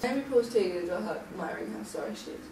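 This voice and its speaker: A woman reads out stage directions as a script narrator. Her voice is medium in pitch, heard away from the mic in a reverberant room with noticeable noise. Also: expressive, very fast. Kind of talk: scripted narration at a table read. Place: table read in a room. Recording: distant, noisy, echoey room recording.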